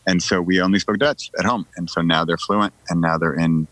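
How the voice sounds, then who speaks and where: A man's voice: deep voice, steady tone, brisk, fairly bright tone, no room sound, low-quality recording. A middle-aged man, telling casual stories as a podcast guest in a joking, conversational way. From United States.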